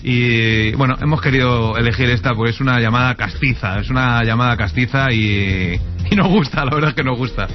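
A man's voice: medium pitch, fairly quick. A man from Spain hosting a radio sports show, running through the news with wry asides. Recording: dull radio sound with music under.

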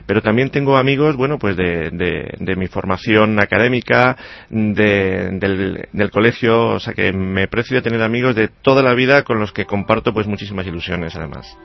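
A man's voice: lowish voice, moderate pace, creaky, lo-fi sound. Earnest man in his forties. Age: 40s. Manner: earnest, hesitant with many restarts. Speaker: politician guest. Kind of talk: radio interview.

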